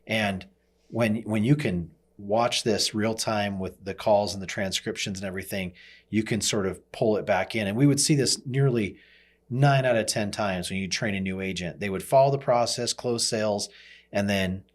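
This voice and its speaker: Low-voiced man talking shop. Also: normal speed. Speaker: podcast host. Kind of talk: conversational business podcast talk.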